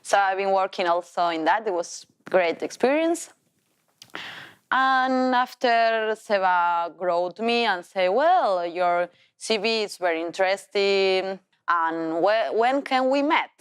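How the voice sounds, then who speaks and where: A woman in her thirties with an Argentine accent, a Spanish speaker telling her story as a tango teacher in halting English with many restarts. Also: medium pitch, lively intonation, clear, resonant tone. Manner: non-native English, halting restarts, laughs while telling. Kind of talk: personal storytelling.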